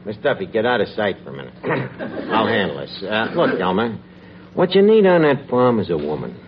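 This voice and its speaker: Lively wisecracking New schemer. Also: male voice, lowish voice, moderate pace. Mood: wheedling. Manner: ungrammatical, hesitant, wheedling. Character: scheming, wisecracking lowbrow city guy, male. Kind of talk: 1940s radio comedy acting. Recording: noisy dull old radio recording.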